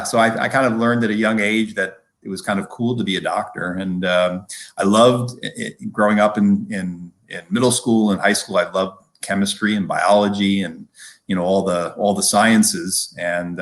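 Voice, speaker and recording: A middle-aged man with a low voice talks as an interview guest, casually recounting his own life. He speaks in a loose, rambling way, often repeating words as he finds the next phrase. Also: a bit bright. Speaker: physician-scientist guest. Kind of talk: casual personal storytelling in conversation.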